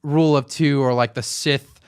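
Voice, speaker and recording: A man's voice: mid-range voice, steady tone, moderate pace, smooth. A man co-hosting a podcast, explaining his views in a conversational, casual way. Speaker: podcast co-host. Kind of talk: casual film discussion, answering live chat comments.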